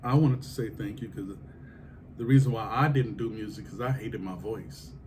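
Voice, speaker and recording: A man, hosting a casual interview and joking and laughing often. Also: moderate pace. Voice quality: deep voice. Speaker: podcast host. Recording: noisy background.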